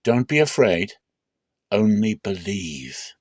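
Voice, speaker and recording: A man voicing a calm, authoritative teacher and healer in a measured, solemn dramatic scripture reading. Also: fairly low pitch, slightly rough, dry recording, clean studio sound, voice pushed down, full character voice. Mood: calm, reassuring.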